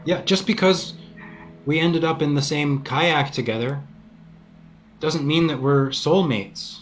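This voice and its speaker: A man playing a defensive, self-important boyfriend in an improvised comedy breakup scene, hesitant and deadpan. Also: on the high side, takes it slowly, soft background music. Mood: awkward, resigned.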